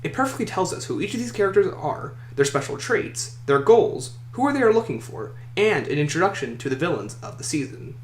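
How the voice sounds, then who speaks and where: A man's voice: sits high, sing-song, a little fast, hardly any pauses. A man hosting a countdown list video, reading scripted commentary and opinion. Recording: echoey room, faint noise.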